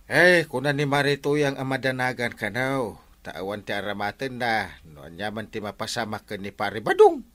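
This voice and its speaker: An actor playing an easygoing, gossipy village captain in an Ilocano radio comedy, animated and exclamatory, with an Ilocano accent. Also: male voice, mid-range voice, moderate pace. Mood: puzzled.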